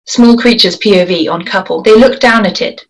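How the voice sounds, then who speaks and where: A woman reading stage directions aloud at a script table read, in a deliberate, measured way. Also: medium pitch, rough recording.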